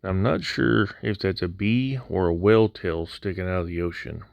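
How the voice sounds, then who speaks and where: Low-voiced male hobbyist, laid-back and wry, voice slightly worn, dry close-mic voice-over. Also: moderate pace, gravelly, a little mellow, no room sound. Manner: casual, dry humor. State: recovering from near voice loss. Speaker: scale model builder. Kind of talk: voice-over unboxing walkthrough.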